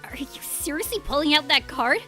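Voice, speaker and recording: A woman's voice: high-pitched, expressive, brisk, sharp tone, faint music behind, voice pushed up. A woman voicing a hurt, defensive female penguin spy in a game story, curt and sarcastic. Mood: hurt, angry.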